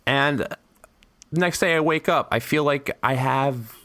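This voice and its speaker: A man's voice: mid-range voice, a little slow, bright, forceful tone, no room sound. A young man co-hosting a podcast, stuffy and losing his voice, rambling crudely and laughing a lot. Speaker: podcast co-host. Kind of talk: casual chat.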